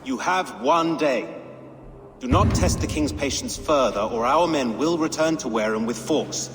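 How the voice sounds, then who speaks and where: An actor playing a scornful, mocking Saxon royal adviser in a video game. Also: male voice, fairly high pitch, lively intonation, moderate pace, big-room sound, a little hiss or hum, lightly acted. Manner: contemptuous, mocking.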